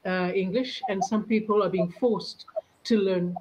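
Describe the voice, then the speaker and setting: A woman from South Africa, an English tutor, explaining things in a measured way on a video call. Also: medium pitch, fairly even intonation, background noise. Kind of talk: casual video-call chat.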